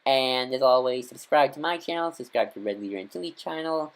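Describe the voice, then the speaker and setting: A man's voice: mid-range voice, expressive, fairly quick. Guy doing a casual solo video review, eager, keeps restarting his sentences. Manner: rambling and eager, many fillers and restarts. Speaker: video host. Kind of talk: casual fan review monologue.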